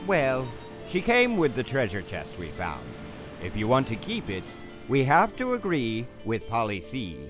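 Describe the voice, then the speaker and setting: A man's voice: medium pitch, wildly swooping pitch, unhurried, music in the background. A man playing a blustering, easily alarmed pirate captain with theatrical pirate talk in a comedy skit. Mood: settled.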